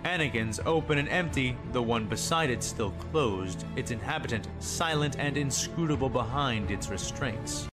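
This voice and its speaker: A male narrator reads scripted dramatic fiction in a mid-pitched voice. Music plays clearly behind him throughout. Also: expressive, moderate pace, hardly any pauses. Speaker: story narrator.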